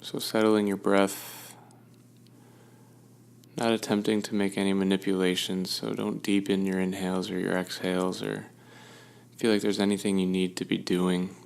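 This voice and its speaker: Low, gravelly man guiding meditation. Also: level tone, normal speed, a little mellow. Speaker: meditation guide. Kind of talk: guided meditation, gentle instruction.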